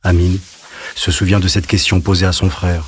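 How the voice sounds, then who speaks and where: A man narrating a sombre audio drama as a storyteller, measured and solemn. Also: low-pitched, level tone, background noise, lightly acted. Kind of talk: scripted literary narration. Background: music.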